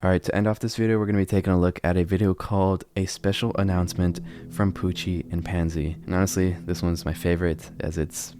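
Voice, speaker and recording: A man's voice: low-pitched, little pitch movement, fast talker, hardly any pauses, dark tone, soft background music. A man hosting a horror video channel, giving casual commentary on clips.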